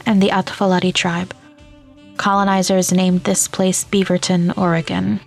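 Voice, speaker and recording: A woman announcer reads a script with very little rise and fall in pitch. Her delivery is measured and clearly enunciated, with music behind her. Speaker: podcast announcer. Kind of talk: scripted narration.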